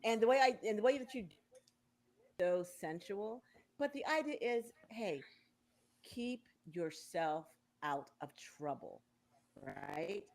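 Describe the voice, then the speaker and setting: A woman's voice: medium pitch, animated, takes it slowly, a little mellow. A woman in her sixties hosting a casual talk show, quizzing her guests in a playful, game-like way. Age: 60s. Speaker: radio show host.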